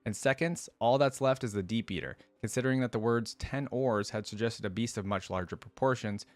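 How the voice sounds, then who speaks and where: A man's voice: fairly low pitch, fairly quick, no room sound. A man hosting a reaction video, reading a story aloud and adding casual commentary.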